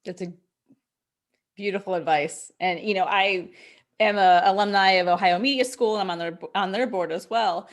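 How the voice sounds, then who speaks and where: A woman's voice: mid-range voice, moderate pace. A woman hosting an interview in a conversational style, responding to her guest and putting questions. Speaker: interview host, broadcasters association president.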